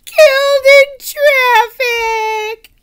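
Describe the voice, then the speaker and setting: A woman's voice: extremely high pitch, steady tone, fairly bright tone, voice pushed up, heavily acted. A woman voicing a small, heartbroken peasant girl, crying and wailing in a storybook. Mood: bawling grief. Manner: wailing, drawn-out.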